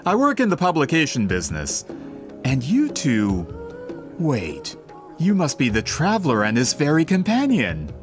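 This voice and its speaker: An actor playing a polite, eager gentleman book merchant in a fantasy video game, speaking in a flowery, theatrical, salesmanlike way. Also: fairly high pitch, wildly swooping pitch, normal speed, music in the background. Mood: delighted, flattering. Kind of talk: animated video game voice acting.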